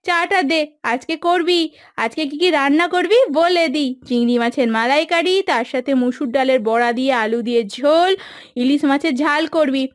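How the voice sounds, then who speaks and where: A woman playing a haughty, demanding mistress of the house in a cartoon moral story, bossy in manner. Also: high voice. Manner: sarcastic, bossy, scolding. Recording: dry studio voice over background music.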